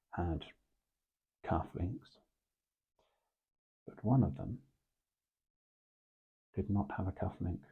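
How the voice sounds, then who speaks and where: A British man playing a composed, formal intelligence officer in a hushed, measured ASMR interview role play, with a breathy voice and muffled recording. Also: deep voice, exaggerated voice. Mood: probing, formal. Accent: British English. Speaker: ASMR performer.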